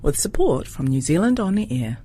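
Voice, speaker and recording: A woman reading a short production credit in a scripted, even delivery. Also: low-pitched, normal speed, husky, faint music behind. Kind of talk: read credit announcement.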